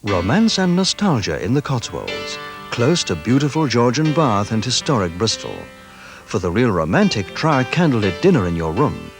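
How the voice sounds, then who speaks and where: A man's voice: mid-range voice, very animated, moderate pace. A man reading a scripted promotional narration as an advert voice-over. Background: loud music.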